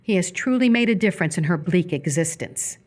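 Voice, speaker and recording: A woman narrating a live radio theatre script, reading stage directions in a measured, descriptive way. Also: on the low side, expressive.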